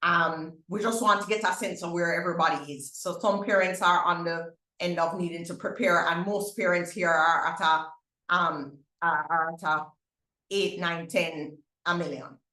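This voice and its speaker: A woman's voice: fairly low pitch, steady tone, moderate pace, sharp tone, reverberant, poor audio. A middle-aged Jamaican woman hosting a parents' webinar, upbeat, with many fillers and restarts. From Barbados. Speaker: webinar host. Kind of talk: webinar info session.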